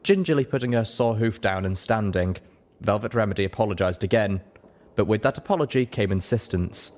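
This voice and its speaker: A man's voice: on the low side, fairly quick, a little mellow. A man reading scripted fiction aloud as a dramatic audiobook narrator. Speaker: audiobook reader.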